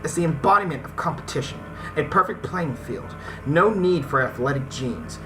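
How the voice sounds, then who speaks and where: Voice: mid-range voice, lively intonation, normal speed, poor audio. Game host reading aloud over music. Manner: reads text aloud, stumbles on words, addresses viewers directly. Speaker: gaming video host reading a visual novel aloud. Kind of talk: let's-play narration with casual asides. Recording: music behind the voice. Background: visual novel game music.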